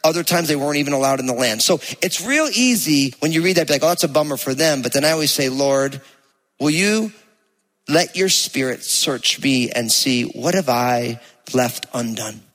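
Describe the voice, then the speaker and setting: A man with a New Jersey accent preaching a sermon to a congregation, speaking conversationally and with emphasis, slowing down to read scripture aloud. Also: mid-range voice, animated, a bit bright. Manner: conversational, emphatic, slows to read place names. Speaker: pastor. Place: church hall with live congregation. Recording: long reverb of a church hall.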